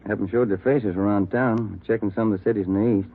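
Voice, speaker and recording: Low, laconic detective sidekick. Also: male voice, fairly even intonation, quick pace, husky. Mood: laconic, weary. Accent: American. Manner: laconic, clipped sentences. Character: weary, plain-spoken male police detective sidekick. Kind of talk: 1950s radio drama acting. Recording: thin, tinny old radio broadcast.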